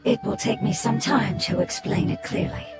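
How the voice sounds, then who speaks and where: A woman's voice: fairly high pitch, normal speed, natural voice. A woman voicing an ancient nonhuman creature who speaks formally, precisely and in a literal way. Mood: earnest. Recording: game audio with loud music underneath.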